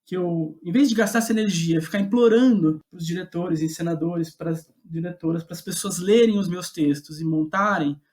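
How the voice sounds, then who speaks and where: A man's voice: high voice, moderate pace, hardly any pauses, a little mellow. A Brazilian man talks as an interview guest about his own working life. His delivery is casual and conversational, with a self-deprecating streak and a tendency to chuckle as he speaks. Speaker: guest playwright.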